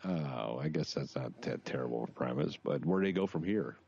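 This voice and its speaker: A man's voice: a bass voice, expressive, moderate pace, gravelly, darkish tone, husky, highs cut off. A man co-hosting a podcast in casual chat, dropping dry joking asides. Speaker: podcast co-host.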